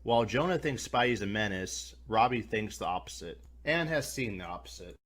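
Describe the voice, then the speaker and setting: A man's voice: on the low side, lively intonation, normal speed, a bit bright. A man hosting a scripted video review, talking in a colloquial, mildly profane way. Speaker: reviewer, host.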